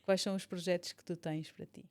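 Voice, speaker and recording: A woman with a European Portuguese accent and a moderately low voice leads an informal podcast interview. She puts personal, probing questions to her guest in a conversational way. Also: steady tone, quick pace, darkish tone.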